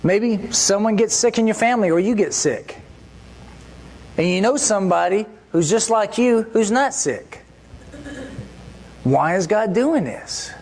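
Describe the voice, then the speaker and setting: A mid-pitched preacher talks through a church sermon as if chatting with friends. The delivery keeps turning to the congregation with rhetorical questions. A faint hiss sits under the voice. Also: animated, unhurried, long pauses, a little mellow. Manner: conversational, full of rhetorical questions.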